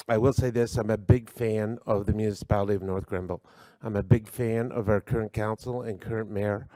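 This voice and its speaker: Humble man with a dark voice. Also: on the low side, dry recording. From Canada. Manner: humble, with many fillers. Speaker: award recipient. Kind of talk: acceptance speech. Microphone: podium microphone, spoken into closely.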